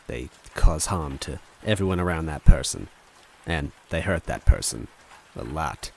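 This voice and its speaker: A man reading as a self-deprecating, fearful little man who narrates his storybook tale in a solemn, deliberate way. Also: extremely low pitch, creaky, a little hiss or hum, voice pushed down, full character voice. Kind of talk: first-person storybook narration.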